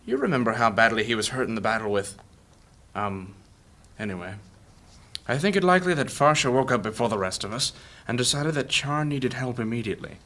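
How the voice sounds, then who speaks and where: An elderly fantasy mage acted by a man, courteous and formal in an audio drama. His voice is bright and forceful at an ordinary male pitch. He argues reasonably, as the peacemaker of a party. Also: lively intonation, brisk. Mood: reasoning.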